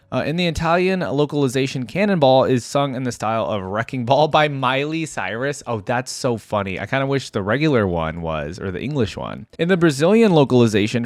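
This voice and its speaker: A man's voice: mid-range voice, dry recording. A man hosting a reaction video, giving casual off-the-cuff game commentary in a rambling, conversational way that often checks for agreement. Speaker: YouTube reaction host.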